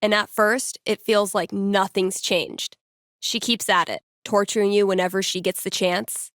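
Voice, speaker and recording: Wry young American radio DJ. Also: female voice, mid-range voice, steady tone, a little slow, fairly bright tone, dry recording, studio quality. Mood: storytelling, earnest. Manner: wry, playful, conversational. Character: witty young woman small-town radio DJ and fortune teller. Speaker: radio host. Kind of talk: casual local radio announcements, video game voice acting.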